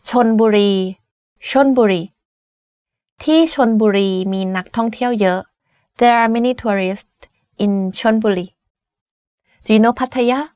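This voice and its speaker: A woman's voice: a little high, fairly even intonation, rapid-fire, long pauses, a little mellow, dry recording, studio quality. A Thai woman in her twenties with a Thai accent, giving a casual language lesson full of "like" and "I mean". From Thailand. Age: 20s. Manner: chatty, many "like" and "I mean" fillers. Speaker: language teacher.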